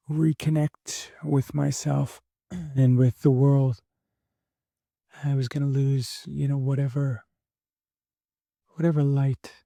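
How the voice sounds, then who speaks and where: A young man losing his voice, talking candidly and haltingly in a reflective personal monologue as a podcast host. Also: mid-range voice, gravelly, mellow, dry recording, clean studio sound. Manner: halting, candid, many fillers and restarts.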